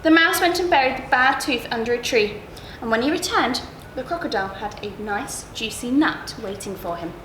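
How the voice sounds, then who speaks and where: High-voiced woman reading a bedtime story. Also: lively intonation, normal speed, hardly any pauses, a bit bright. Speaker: story reader at a school. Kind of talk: expressive children's bedtime story narration. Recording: roomy echo, clear background noise.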